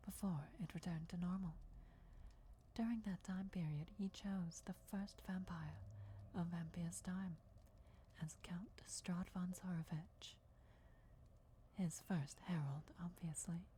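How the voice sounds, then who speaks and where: A woman voicing an ancient, loyal vampire countess in a formal, deferential, measured whisper for fantasy ASMR roleplay. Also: lowish voice, dark tone, a little hiss or hum. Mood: solemn, secretive. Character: ancient female vampire countess, devoted herald's speaker, formal and reverent.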